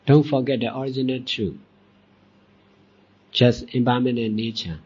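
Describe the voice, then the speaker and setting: A man teaching as a Buddhist monk, leading a guided meditation in deliberate short phrases with long pauses. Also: medium pitch, steady tone, a little mellow, slightly rough. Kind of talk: guided meditation dhamma talk. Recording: dull, muffled lo-fi recording.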